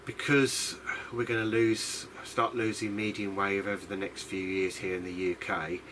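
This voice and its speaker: A man from the UK with a fairly low voice presents a casual hobby video diary. He rambles and hesitates, often putting himself down mildly. There is clear noise behind his voice. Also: level tone, moderate pace, a bit bright, low-quality recording. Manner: rambling, hesitant, self-deprecating. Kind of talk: casual unscripted demonstration.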